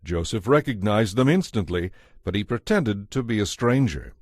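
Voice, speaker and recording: A man narrates a dramatized audio Bible from a script. His voice sits on the low side. The sound is dry, with hardly any room in it. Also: lively intonation, normal speed, darkish tone, no room sound.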